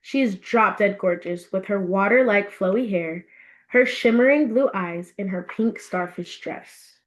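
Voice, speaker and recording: A woman reading her own fiction aloud as an expressive storybook narration. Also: medium pitch, a little slow, rough recording.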